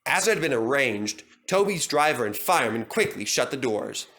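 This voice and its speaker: Bright lively guy reading a kids train story. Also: mid-range voice, moderate pace. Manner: animated, storytelling. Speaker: narrator. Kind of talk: storybook narration.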